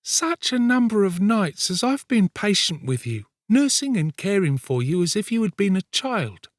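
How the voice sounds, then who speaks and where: A man's voice: high voice, sing-song, a little slow, darkish tone, no echo, clean studio sound, pitched above the performer's own voice, full character voice. A man voicing a weary, ailing young woman in an audiobook, tender, plaintive and coaxing. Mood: tender pleading. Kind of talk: dramatised audiobook reading of a classic novel.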